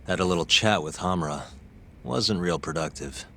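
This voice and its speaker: A man's voice: sits low, moderate pace, a bit bright, slight background noise. A man playing a cool, persistent lawyer investigator in a video game cutscene, probing in a dry, understated way. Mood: businesslike.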